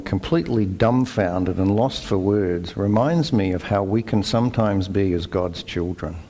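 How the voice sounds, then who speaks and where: Low-voiced Australian man preaching. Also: a little mellow. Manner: conversational, self-deprecating, with fillers and restarts. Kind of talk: sermon.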